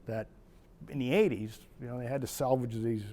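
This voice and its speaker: Older man, casual reminiscing, rambling, chuckles often, middle pitch. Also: steady tone, a little fast, mellow. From Maine, USA. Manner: rambling, chuckling storyteller with frequent restarts. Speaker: retired wildlife biologist. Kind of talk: reminiscing personal story.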